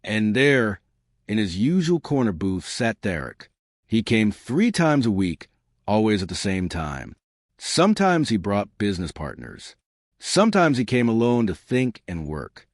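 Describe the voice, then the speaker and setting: A man's voice: measured pace, dry recording, studio quality. A man narrating a scripted story, lifting his voice for the characters' lines. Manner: lively storytelling, shifting pitch for quoted characters.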